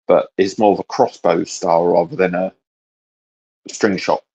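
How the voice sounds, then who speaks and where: A man co-hosting a casual geeky chat, speaking in halting, hedged phrases. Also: sits low, steady tone, a little mellow, husky, dull audio. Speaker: co-host of a hobby discussion show.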